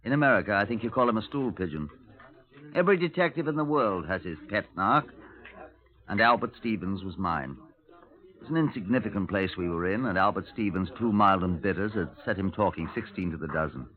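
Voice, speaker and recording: An actor playing a dry, measured British police superintendent, narrating a 1950s radio drama with understatement. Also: male voice, on the low side, expressive, natural voice. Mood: dry, composed. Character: dry, matter-of-fact Scotland Yard police superintendent. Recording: noisy, muffled narrowband vintage radio recording.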